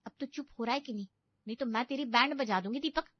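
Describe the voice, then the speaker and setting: A woman playing a young, bossy elder sister in an animated comedy story, scolding and teasing with sarcasm. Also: a little high, quick pace, no room sound, lightly acted. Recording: dull, lo-fi studio voice-over.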